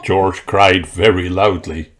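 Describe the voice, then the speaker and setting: A man reading a children's picture book aloud in a slow, expressive storytelling way. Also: low voice. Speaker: storybook narrator. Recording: narration over background music. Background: background music.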